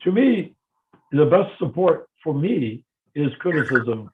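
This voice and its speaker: Older man, higher voice, emphatic and repetitive, on a tinny video call line. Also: sing-song, takes it slowly, background noise. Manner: emphatic, repeats himself when starting sentences. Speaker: discussion guest. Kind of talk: casual discussion.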